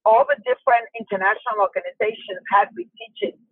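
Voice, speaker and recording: A woman from Ghana, telling her story as an animated interview guest, her pitch jumping high often. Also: fast, slightly rough, dry recording. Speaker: guest, fitness founder and dance instructor. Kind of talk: casual interview chat. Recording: tinny phone line, dry.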